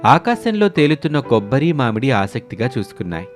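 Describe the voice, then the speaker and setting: A man tells a cartoon story as scripted narration. His voice sits at a middle pitch. Music plays underneath. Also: very animated, fairly quick.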